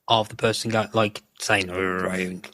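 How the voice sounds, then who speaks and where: Man, low pitch, very dry sound, casual podcast talk, rambling, self-correcting. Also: level tone, slow, bright, forceful tone, no echo. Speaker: podcast co-host.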